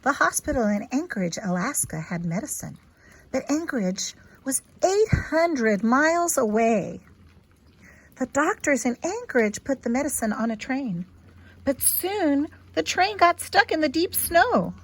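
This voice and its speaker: A woman reading a children's picture book aloud in an expressive, teacherly way, with simple asides to young listeners. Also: sits high, a little slow, rough recording.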